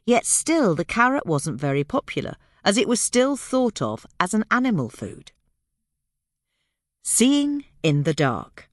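A woman reading a scripted gardening piece as a podcast narrator, measured and explanatory with clear phrasing. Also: sits low, no echo, clean studio sound.

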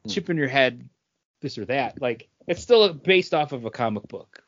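A man's voice: mid-range voice, lively intonation, normal speed, vocal fry, no echo, dull audio. A man co-hosting a podcast in a casual, rambling chat about comic book movies. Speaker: podcast co-host.

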